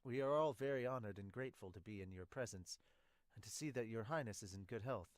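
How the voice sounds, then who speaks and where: A young man giving an amateur dramatic read-aloud of manga dialogue, somewhat halting, into a close mic. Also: lowish voice, dry recording. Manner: halting reading with stumbles. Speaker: podcaster reading a manga aloud as an amateur dub. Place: small home room, indoors. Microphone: large studio mic with pop filter, close.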